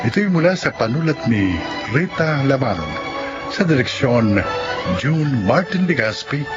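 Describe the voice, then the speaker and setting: A male announcer who reads the credits and introductions in a formal, measured way. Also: mid-range voice, sing-song, dull audio, over music. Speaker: program announcer. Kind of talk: scripted radio announcing.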